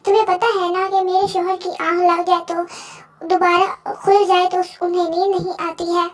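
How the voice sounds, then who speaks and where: A woman voicing a bossy, nagging, sharp-tongued female crow neighbour in a children's moral story, scolding and threatening. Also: very high-pitched, level tone, normal speed, soft background music, pitched above the performer's own voice. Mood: nagging, scornful.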